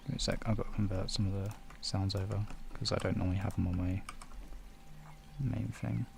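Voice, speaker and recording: A man's voice: sits low, level tone, a little fast, gravelly, a little mellow, slight background noise. A man chatting casually and distractedly to his stream viewers in muttered, broken-up phrases while he sets things up. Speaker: live streamer, host.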